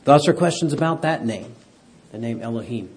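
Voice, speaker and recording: A man's voice: medium pitch, animated, normal speed, darkish tone, breathy edge. A man teaching a Bible class who keeps quizzing his listeners and asking them for an amen. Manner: quizzes the class, asks for amens. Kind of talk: interactive Bible lesson.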